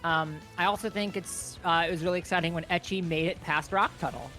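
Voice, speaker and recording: A man's voice: on the high side, steady tone, sharp tone, faint music behind, low-quality recording. A man co-commenting a livestreamed speedrun event in a chatty, playful way. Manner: chatty and playful, with quick restarts. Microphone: own microphone, talking close into it.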